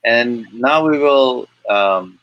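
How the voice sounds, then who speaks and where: A man hosting an online webinar and introducing guests, speaking in a hesitant, halting way with repeated words. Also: mid-range voice, highs cut off. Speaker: webinar host.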